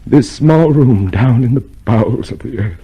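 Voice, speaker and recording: An actor playing a tormented, broken prisoner in a vintage radio drama, halting and anguished as he repeats himself. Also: mid-range voice, expressive, warm tone, natural voice. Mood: numb dread. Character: tormented, broken, frightened yet defiant male prisoner. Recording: faintly noisy old radio drama recording.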